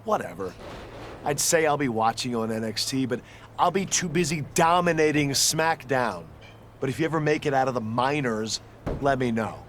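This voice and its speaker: A man's voice: mid-range voice, moderate pace, a bit bright, lightly acted. A man playing an arrogant, boastful young wrestler in a video game, pompous and dismissive. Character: arrogant, boastful male wrestler, self-styled paragon. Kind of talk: video game cutscene dialogue. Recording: faint background noise.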